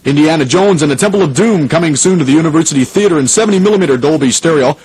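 Man acting a higher-voiced radio announcer, noisy recording. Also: lively intonation, very fast, darkish tone, lightly acted. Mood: upbeat, announcing. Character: 1980s male radio DJ hosting oldies, turning newsreader. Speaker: radio host. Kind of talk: radio DJ and news bulletin announcing.

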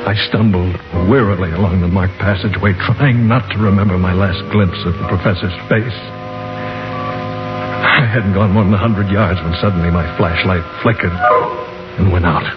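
An American actor playing a frightened, wounded man trapped in the dark, narrating a 1950s radio horror drama in a grave, dramatic delivery. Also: low-pitched, fairly even intonation, close to an everyday voice. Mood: grim, weary. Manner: dramatic, grave, measured delivery rising to anguish. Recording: dull vintage 1950s radio recording. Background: dramatic music.

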